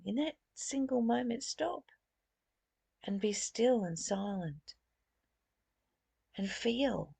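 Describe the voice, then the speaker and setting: A woman giving a reflective spiritual lesson, reading and explaining in deliberate, emphatic phrases with pauses and repetition. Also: a little high, gravelly, a little mellow, slightly rough, dry recording. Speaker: spiritual study teacher.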